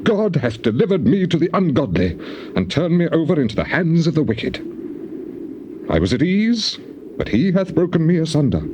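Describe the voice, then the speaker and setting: A man's voice: a little high, big pitch swings, moderate pace, noisy background. An actor playing an anguished, afflicted man lamenting to God in a theatrical, declamatory scripture dramatization. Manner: declamatory, mournful, archaic phrasing.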